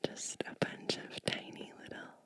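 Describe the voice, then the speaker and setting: Woman, bright whisper, ASMR storybook reader, hushed, short phrases with pauses. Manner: hushed, unhurried, pausing between short phrases. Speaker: ASMR host reading children's storybooks.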